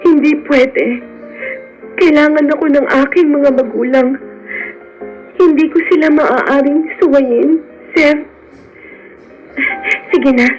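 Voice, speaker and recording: An actress playing a gentle, fearful, sheltered rich young woman in a Filipino radio drama. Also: high-pitched, takes it slowly, long pauses. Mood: fearful, sorrowful. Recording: dull lo-fi radio, music behind.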